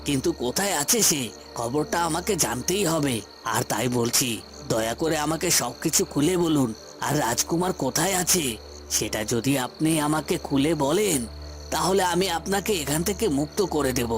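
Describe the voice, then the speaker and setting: A man voicing a scheming, power-hungry villain in a cartoon fairy tale, coaxing and insistent in his demands. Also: fairly high pitch.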